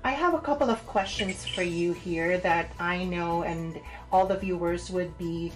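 A woman's voice: on the low side, measured pace, faint music behind, poor audio. A woman news anchor reading and interviewing in a formal broadcast style.

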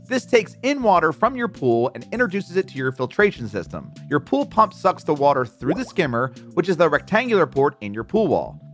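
Guy doing a how-to voice-over, bouncy pitch, slightly high voice, light music under it. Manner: lively, clearly enunciated explainer voice. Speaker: instructional narrator. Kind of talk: scripted how-to narration. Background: soft background music.

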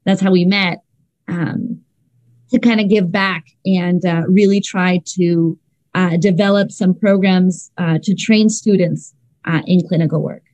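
A woman's voice: on the low side, steady tone, a little slow, darkish tone, no room sound, low-quality recording. Dry-sounding woman from Ecuador presenting. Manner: casual, many um fillers and restarts. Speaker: clinical psychologist. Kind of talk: academic online seminar talk. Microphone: video call.

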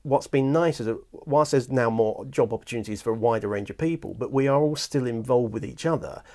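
A man's voice: mid-range voice, a little fast, mellow. Rambling British man hosting a chat. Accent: British English. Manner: eager, rambling with many restarts. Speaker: host. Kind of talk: casual chat. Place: small studio with shelves of toys.

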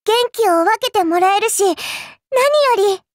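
A woman's voice: very high voice, fast talker, no echo, clean studio sound. A woman voicing a childlike, fairy-like game girl, cutesy and polite, with a sweetly teasing, faintly condescending manner. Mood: sweetly eager. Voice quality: cutesy, girlish. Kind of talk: Japanese mobile game character voice lines.

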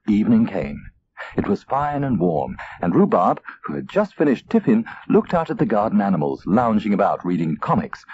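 A man reading a children's story as narrator in an expressive, theatrical way. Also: on the low side. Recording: dull tape transfer, faint noise.

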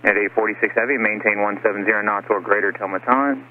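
A man's voice: fairly low pitch, fast. A man working as an air traffic controller, giving procedural instructions over aviation radio. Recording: phone-like aviation radio, faint noise.

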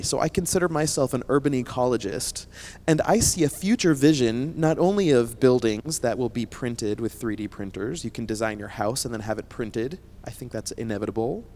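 A man's voice: mid-range voice, a little mellow. A man in his thirties giving an emphatic motivational talk to students on stage into a handheld microphone, often checking in with the audience. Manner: emphatic, addresses audience with frequent "right?" tags. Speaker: scientist and company founder giving a keynote talk. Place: stage at an indoor conference.

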